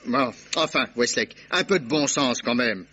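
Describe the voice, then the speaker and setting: An actor in an old radio drama playing a skeptical police inspector who is gruff and exclamatory. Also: male voice, medium pitch, expressive, measured pace, pushed and bright. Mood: incredulous. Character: skeptical, slow-witted male police inspector. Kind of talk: 1960s French radio drama acting. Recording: dull old radio broadcast recording.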